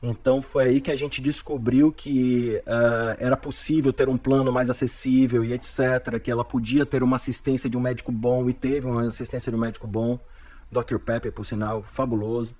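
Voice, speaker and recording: A man's voice: medium pitch, fairly quick. A man from Bahia in his forties with a Bahian Brazilian accent, a guest telling a story animatedly and repeating his words often. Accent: Bahian Brazilian Portuguese. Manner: animated storyteller with frequent repetitions. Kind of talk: storytelling in a podcast interview.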